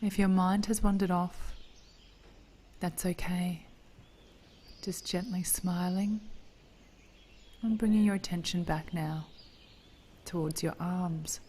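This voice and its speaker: A woman leading a guided meditation in a gentle, soothing, hushed voice, with phrases trailing into breath. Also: on the low side, steady tone, slow pace, pauses often, husky.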